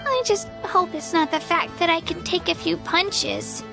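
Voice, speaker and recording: A woman's voice: very high voice, fairly even intonation, unhurried, gravelly, exaggerated voice. A woman voicing an earnest, self-doubting young girl warrior in a video game, with a childlike voice. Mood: anxious. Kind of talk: video game character acting. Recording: music behind the voice.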